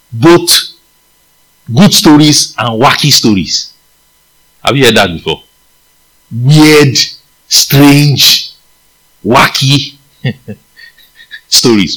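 A man with a Nigerian accent preaching a sermon, reading scripture aloud and asking his listeners questions. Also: rough recording. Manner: animated, leaps in pitch for emphasis, asks the listeners questions.

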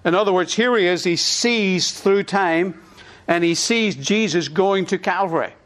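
A man's voice: high-pitched, measured pace, a bit bright. A middle-aged man with an Irish accent, preaching a sermon and leading the congregation in call-and-response. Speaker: pastor.

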